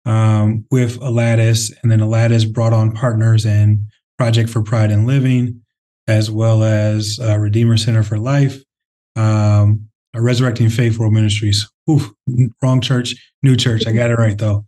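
A man from the Twin Cities, a company head, answering interview questions in a thoughtful, conversational way with frequent pauses and fillers. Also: fairly low pitch, little pitch movement, dark tone. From Minnesota, Twin Cities. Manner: thoughtful, many fillers and restarts. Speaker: real estate CEO, interview guest.

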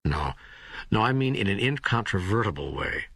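A man's voice: on the low side, very animated, moderate pace, vocal fry, a bit bright, breathy edge, dry recording, studio quality, heavily acted. A man playing an earnest seeker who questions and protests to God in an audiobook dialogue. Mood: insistent.

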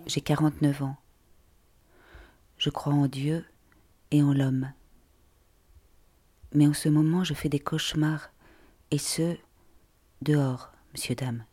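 A man reads a literary monologue at a slow pace. His voice is breathy and often slips toward a half-whisper. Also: a little high, flat delivery, mellow. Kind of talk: literary text read aloud as a monologue.

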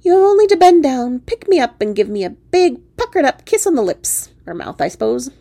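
A cheeky storybook frog prince, acted out by a woman in a creaky, close, dry voice. He woos with theatrical, courtly pomp and teases as he flirts. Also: sits high, sing-song, brisk, warm tone, no room sound, voice pushed up, exaggerated voice. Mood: flirtatious coaxing. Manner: theatrical, mock-courtly wooing.

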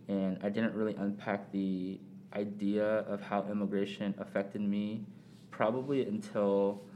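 A young man born in South Korea speaks as a podcast guest. His voice is low and mellow, with a flat, even delivery. He talks thoughtfully, pausing often and filling gaps. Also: a little hiss or hum. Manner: thoughtful, measured, many pauses and fillers. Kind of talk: casual reflective conversation.

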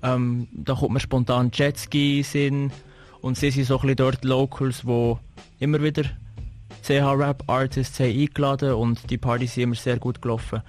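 A man's voice: medium pitch, little pitch movement, moderate pace, darkish tone. A man with a Swiss German accent hosting a casual radio interview, speaking informally with English slang mixed in.

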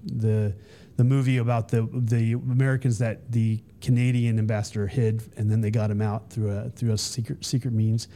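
A man in his fifties with a mellow, unhurried tone tells stories as a podcast guest. He rambles, restarts his sentences and drifts off on tangents in a loose conversational flow. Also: lowish voice, little pitch movement. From Texas, US. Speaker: podcast guest, Army veteran. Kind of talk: casual conversational storytelling.

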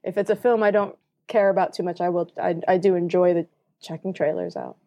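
A woman's voice: on the low side, fairly even intonation, a little fast, mellow. A young American woman and film student, talking casually on a podcast with frequent hesitations and restarted phrases. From United States. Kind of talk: casual chat.

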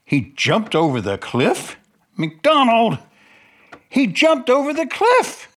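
A man playing a concerned, formal gentleman asking earnest questions in a radio drama. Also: extremely high pitch, wildly swooping pitch, slow, exaggerated voice. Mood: alarmed. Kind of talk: dramatic radio play acting.

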